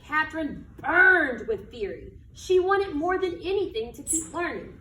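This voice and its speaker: An American woman reading a picture book aloud to children like a teacher, with expressive, emphatic delivery and questions to her listeners. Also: high-pitched, a little slow. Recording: echoey room, distant mic, background noise.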